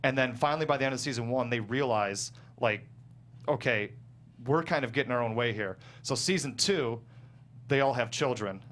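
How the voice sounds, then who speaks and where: A man talking casually as a guest screenwriter, explaining his work in a halting, self-correcting way. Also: mid-range voice, fairly bright tone. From small town in the United States. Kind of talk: casual panel discussion of own script. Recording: clear background noise and hum.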